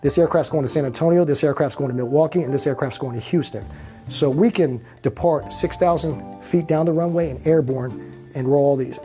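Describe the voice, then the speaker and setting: A man working as an air traffic controller, explaining and giving instructions in a brisk, practical manner. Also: fairly high pitch, mellow. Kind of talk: interview explanation and radio instructions. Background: background music.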